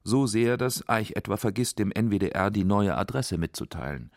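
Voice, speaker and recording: A man's voice: fairly low pitch, animated, moderate pace, no echo, clean studio sound. A man narrating a scripted radio feature as its documentary narrator.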